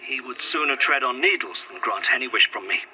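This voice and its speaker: Earnest, courtly Victorian gentleman. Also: mid-range voice, expressive, fairly quick, slight background noise, low-quality recording. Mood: tender, earnest. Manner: formal, old-fashioned, earnest. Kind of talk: found-footage gothic audio drama acting.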